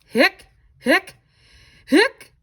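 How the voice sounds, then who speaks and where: A woman voicing a helpless, startled storybook skeleton in short, repeated squeaky hiccups. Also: very slow, pushed and bright. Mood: startled, hiccuping. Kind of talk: picture book read-aloud.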